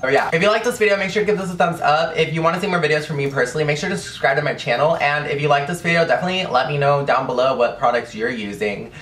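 A man's voice: fairly high pitch, racing, hardly any pauses, fairly bright tone, roomy sound, distant mic. A young man from Texas chatting casually through a hair-care tutorial in his bedroom, gushing and saying "like" often, filmed on a camera a short way off. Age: young adult. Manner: chatty, gushing, heavy use of "like". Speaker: beauty vlogger. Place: bedroom, indoors.

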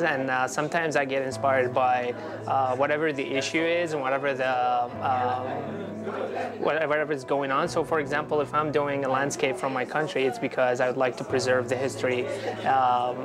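Young man from Iraq, relaxed and rambling. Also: mid-range voice. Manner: relaxed, rambling with many fillers. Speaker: artist interviewee. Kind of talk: casual interview. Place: indoor gallery room. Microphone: lapel mic.

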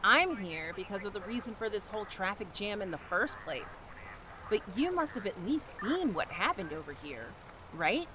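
Actress doing brisk animal expert lady in an audio drama, swingy pitch, noisy roadside. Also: sing-song, lightly acted. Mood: businesslike.

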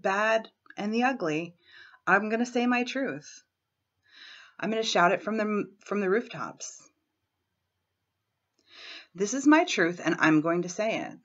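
Hoarse lady with a cold, lowish voice, straight-talking, muffled webcam sound. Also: a bit bright. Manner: frank and brazen, clears throat often. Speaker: tapping coach. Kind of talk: self-help vlog with guided tapping. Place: small room at home, indoors. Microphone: laptop webcam.

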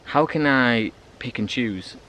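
A man's voice: medium pitch, animated, slow pace, bright, forceful tone, slight background noise, rough recording. A man in his thirties with a British accent, chatting casually to the camera outdoors in a forest, rambling with fillers and restarts. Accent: British English. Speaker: bushcraft vlogger. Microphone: handheld camera at arm's length. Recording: background music behind the voice.

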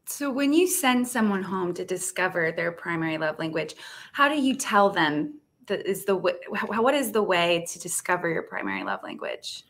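A woman's voice: fairly low pitch, big pitch swings, moderate pace, a bit bright. A young woman co-hosting a video call interview, asking questions with many ums and restarts. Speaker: co-host interviewer. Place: home room.

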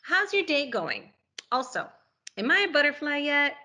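A woman voicing an impatient, excitable, whiny caterpillar in a storybook, pestering and exclaiming with drawn-out cries. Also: high-pitched, voice pushed up, exaggerated voice. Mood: eager, pestering.

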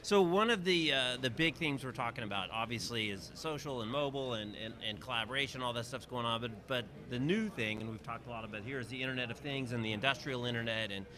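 A man's voice: mid-range voice, quick pace, few pauses. A middle-aged man hosting a live conference interview, upbeat and chatty, often restarting his questions. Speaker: interview host. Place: busy hotel conference hall, indoors. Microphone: handheld mic. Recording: noisy crowd in a conference hall. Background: crowd chatter.

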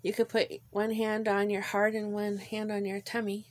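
A woman leading a guided visualization in a gentle, informal way, pausing between short phrases. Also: mid-range voice, completely flat delivery. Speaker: guided meditation leader. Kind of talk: guided meditation, visualization narration.

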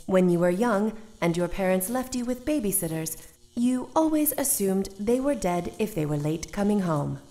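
Woman as a mellow, clinical talking machine. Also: medium pitch, expressive, moderate pace, lightly acted. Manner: clinical, matter-of-fact. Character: coolly analytical female talking machine reading people's psyches. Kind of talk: scripted audio drama.

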